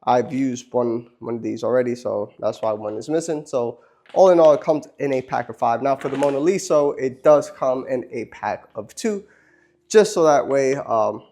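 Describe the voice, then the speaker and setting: A man with a cold who sounds nasal, hosting a casual product demonstration beside a car and explaining things in a relaxed, chatty way with frequent ums and uhs. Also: mid-range voice, moderate pace. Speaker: host. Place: beside a car, cleaning its windshield.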